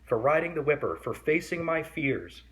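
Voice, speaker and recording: A man's voice: on the high side, very animated, normal speed, a bit bright, pitched above the performer's own voice. A man voicing an eager young boy. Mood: earnest. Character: young boy, anxious but brave.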